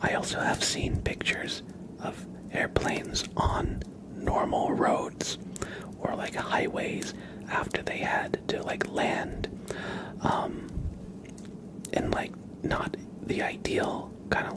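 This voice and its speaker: A man hosting a live ASMR stream, chatting casually and haltingly in a soft, breathy whisper with a relaxed, musing manner. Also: low-quality recording. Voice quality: soft, breathy whisper with some creaky low voicing. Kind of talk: whispered ASMR livestream chat.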